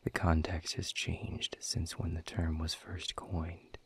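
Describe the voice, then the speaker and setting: A man playing a weary, bitter demon captive in an audio roleplay, speaking in a husky, breathy voice with measured, sardonic formality. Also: deep voice, level tone, full character voice. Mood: dry, composed. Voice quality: husky, breathy, often dropping toward a whisper. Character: weary, bitter, guarded male demon captive, quietly defiant. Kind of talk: scripted audio roleplay monologue.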